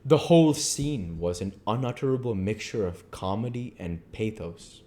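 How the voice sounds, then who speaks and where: A man reading a classic novel aloud as an amateur narrator, measured, with the odd stumble. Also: fairly low pitch, expressive, dark tone. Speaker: amateur book reader.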